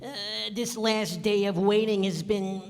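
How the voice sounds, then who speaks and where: A woman's voice: mid-range voice, fairly even intonation, unhurried, a little mellow, close to an everyday voice. A woman playing a fussy, formal male carrot government secretary in a comic radio play, prim and hesitant, in an echoey hall. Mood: nervous. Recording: live stage performance in echoey hall.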